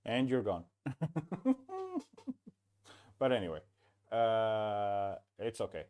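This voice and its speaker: A man hosting a casual tech podcast over a remote call, chatty and quick to chime in with short agreements. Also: on the low side, lively intonation, dark tone. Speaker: podcast host.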